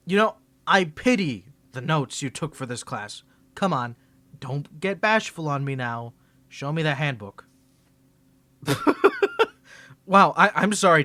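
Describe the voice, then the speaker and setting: A man's voice: a little high, bright, forceful tone, dry recording. A young man voicing a smug, sarcastic tsundere college rival in a scripted ASMR roleplay, teasing and condescending.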